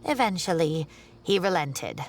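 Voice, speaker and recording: A woman voicing an older, fussy and caring female professor in a video game, chatty and expressive, with old-fashioned phrasing. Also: lowish voice, unhurried, over music. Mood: concerned, gossipy.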